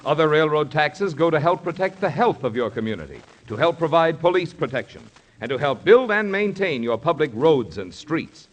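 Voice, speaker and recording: A man's voice: fairly high pitch, very animated, moderate pace, hardly any pauses, highs cut off. A man reading a scripted 1950s radio sponsor announcement in a formal, polished salesman's manner. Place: radio studio. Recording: vintage 1950s radio broadcast.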